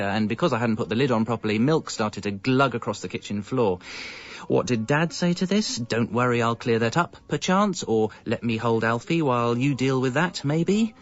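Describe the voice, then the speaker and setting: A man's voice: mid-range voice, muffled recording, close to an everyday voice. An actor playing a harried husband and father with an English accent, narrating a radio comedy in a wry way, stammering when flustered. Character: harried, exasperated husband and father telling his story. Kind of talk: radio comedy first-person narration and dialogue.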